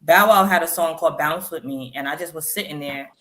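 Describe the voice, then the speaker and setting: A woman in her thirties, a rapper guest, telling stories in a chatty, animated way in an interview. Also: low voice, a little fast, a little hiss or hum. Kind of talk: interview storytelling.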